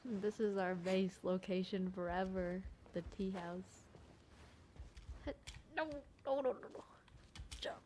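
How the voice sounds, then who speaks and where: A woman's voice: mid-range voice, slow pace, long pauses, mellow, a little hiss or hum. A woman giving casual, playful let's-play commentary, muttering asides as she reacts to a game. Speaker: gamer, video creator.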